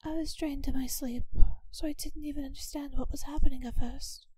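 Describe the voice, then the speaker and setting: A woman playing a kind but possessive, wealthy vampire mistress in a soft ASMR audio roleplay, speaking in a gentle, breathy near-whisper with genteel, unhurried reassurance. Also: high voice, fairly even intonation, close to an everyday voice. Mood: wistful.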